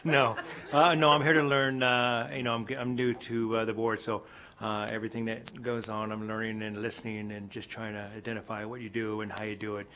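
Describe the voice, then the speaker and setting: New man trustee, bright and flat. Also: on the low side, a little fast. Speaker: new school district trustee.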